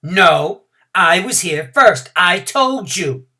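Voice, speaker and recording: A woman voicing a stubborn little kindergarten girl who talks in a slow, sulky, snappish way. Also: extremely low pitch, animated, a bit bright, full character voice.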